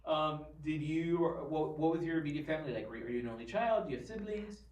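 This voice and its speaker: A man's voice: a little high, quick pace, darkish tone, far from the mic. A man interviewing a guest, asking questions with many fillers and restarts. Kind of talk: oral history interview. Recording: roomy, off-mic.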